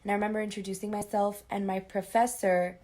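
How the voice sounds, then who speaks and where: Flat-toned woman from Spain. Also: mid-range voice, fast talker. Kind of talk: personal account.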